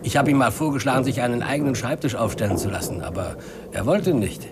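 A man acting a veteran bookkeeper in an old German radio play, on a clearly noisy recording. His mid-pitched voice is measured and formal, precise in every detail and quietly resentful. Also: expressive, natural voice.

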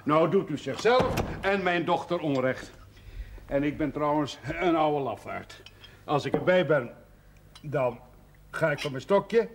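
Blunt, avuncular older gentleman. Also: on the high side, background noise. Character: older provincial gentleman, genial but blunt, worried father. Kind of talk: 1970s Dutch television drama acting.

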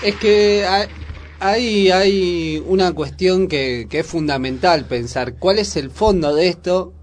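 A man's voice: sits high, takes it slowly, slight background noise. A man with a Buenos Aires accent chatting casually about current affairs as a radio host, informal and full of questions. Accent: Buenos Aires Argentine.

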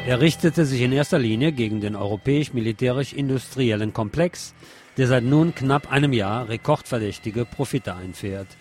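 A man's voice: mid-range voice, moderate pace. Man reading radio news over faint music.

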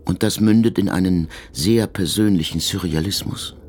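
An actor playing an aging, wary, dignified exiled Russian revolutionary in a radio drama, speaking in measured, formal phrases with a creaky voice. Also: male voice, low voice, darkish tone. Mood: reflective.

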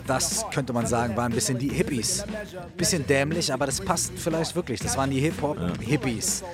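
Slangy male co-host over loud music. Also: medium pitch, animated, brisk. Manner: slangy, colloquial. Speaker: radio co-host. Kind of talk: casual chat.

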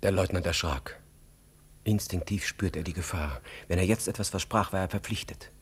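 Radio drama narrator: lowish voice, grave, measured, expressive literary delivery. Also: male voice, slightly rough. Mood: tense, grave.

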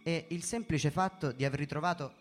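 A man reading a first-person story in a measured, theatrical way, as a dramatic narrative performance. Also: a little high, slight background noise. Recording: music behind the voice. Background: background music.

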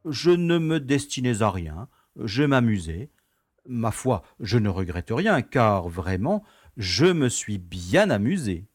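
A man's voice: mid-range voice, very animated, slow, slightly rough, dry recording, studio quality, heavily acted. A man playing an easygoing, genteel prison director in an audio drama, telling things in a wry, nostalgic way. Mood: wistful, amused. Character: urbane, easygoing prison director, former playboy.

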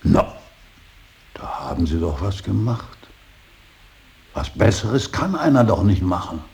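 An actor playing a stern, earnest older painter and professor in a radio play, preaching duty with emphatic, deliberate repetition. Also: male voice, very animated, darkish tone, full character voice. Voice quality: gravelly, very deep. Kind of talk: German radio play acting. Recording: hissy radio drama recording.

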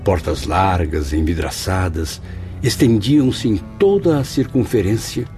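An actor playing a curious newcomer to the spirit world in a radio drama, speaking formally and deliberately. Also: male voice, low-pitched, a little mellow. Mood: solemn. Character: grateful, curious newcomer spirit recounting his journey. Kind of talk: radio drama acting and narration. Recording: music clearly behind the voice.

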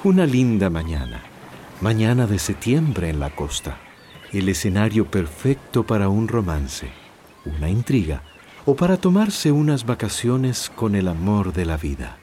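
A man narrates a radio soap with a Latin American accent. His voice is mellow and fairly low, with animated, dramatic phrasing. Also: measured pace, slight background noise. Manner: dramatic, lyrical storytelling.

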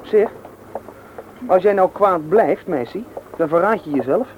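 A man's voice: sits high, animated, unhurried. A young man playing a cheeky, stubborn teenage schoolboy in a radio drama, teasing and flippant. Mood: teasing, earnest. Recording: dull, noisy old radio drama recording.

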